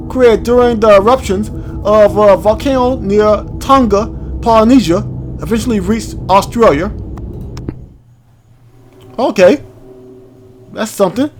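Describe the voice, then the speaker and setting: Male let's-play host: high-pitched, informal, off-the-cuff commentary and read-alouds, light music underneath. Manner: informal, stumbles over unfamiliar words. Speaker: gaming video host. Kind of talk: casual game playthrough commentary, reading on-screen text aloud.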